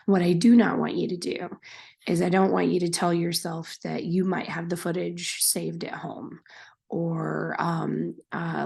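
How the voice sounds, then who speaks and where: A woman teacher with a hoarse, raspy voice from being sick, patiently walking her students step by step through a class project in a recorded screen lesson. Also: lowish voice, a little mellow. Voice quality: raspy, breathy, half-whispered.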